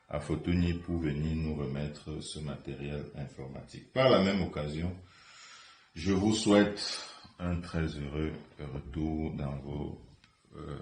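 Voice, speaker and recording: A man gives a formal thank-you speech as a local leader, solemn and measured, pausing on fillers. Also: very deep voice, roomy sound, slight background noise.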